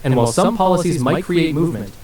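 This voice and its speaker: A man's voice: fairly high pitch, fast. Echoey far-off synthetic man's voice. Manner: smooth, even, evasive scripted answers. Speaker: AI interview guest. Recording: roomy and distant with faint hiss.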